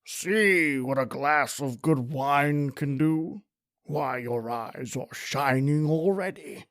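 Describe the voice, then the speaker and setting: Drunken tyrant king: slow, highly animated, bullying and impatient, very dry close sound, radio horror drama. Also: no echo. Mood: gloating. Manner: imperious, impatient, mocking. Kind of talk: old-time radio horror acting.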